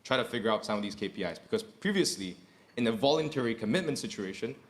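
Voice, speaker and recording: Thirties exec on a panel, low-pitched, earnest, repeats himself for emphasis. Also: male voice, lively intonation, quick pace. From Indonesia. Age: 30s. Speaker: corporate executive panelist. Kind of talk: panel discussion.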